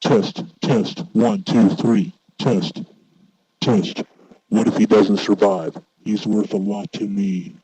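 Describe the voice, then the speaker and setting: Dark, low male voice through a voice changer. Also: fairly even intonation, takes it slowly, slightly rough, no room sound, poor audio. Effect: voice changer through a speaker. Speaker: tutorial host testing a voice changer. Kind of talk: casual demonstration and mic testing.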